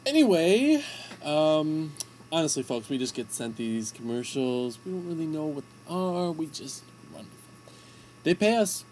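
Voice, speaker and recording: Young amateur radio host, very animated, goofy showman patter acting all professional. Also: medium pitch, measured pace, slight background noise. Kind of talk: amateur comedy radio banter.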